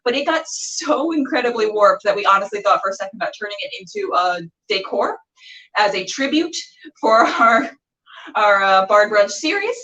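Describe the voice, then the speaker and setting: Woman host, playful exclamatory chat, bright forceful voice, distant from the mic, roomy sound. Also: medium pitch, big pitch swings, moderate pace. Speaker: podcast host and interviewer. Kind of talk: casual chat. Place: home kitchen. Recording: echoey room, off the mic.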